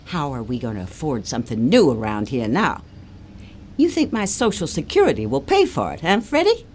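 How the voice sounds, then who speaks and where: Nagging older woman, very deep voice, swooping intonation, bitter, sarcastic, audio drama. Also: very animated, moderate pace, a little mellow, a little hiss or hum. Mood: scornful, accusing. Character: scornful older mother-in-law, nagging and bitter.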